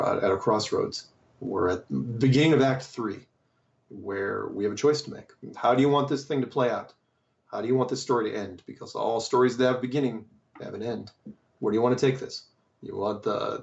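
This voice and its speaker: A man hosting a show who reads and answers listener mail in a casual, rambling way, his voice slightly hoarse and strained, asking many rhetorical questions. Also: fairly low pitch, dull audio, a little hiss or hum. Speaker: podcast host reading listener mail.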